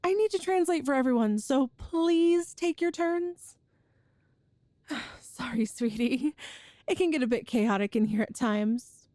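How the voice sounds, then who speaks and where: A woman's voice: high voice, lively intonation, measured pace, no echo. A woman playing an affectionate, playful girlfriend from a ghost family in an ASMR audio roleplay, chatty and tender. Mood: flustered, exasperated. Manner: chatty and tender, with soft laughs and sighs.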